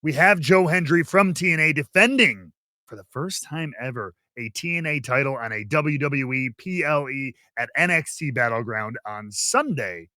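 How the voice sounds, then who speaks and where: A man hosting a wrestling podcast, previewing events in a theatrical announcer style with drawn-out emphasis. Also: medium pitch, expressive, sharp tone, no room sound, clean studio sound. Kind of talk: animated show introduction and wrestling preview.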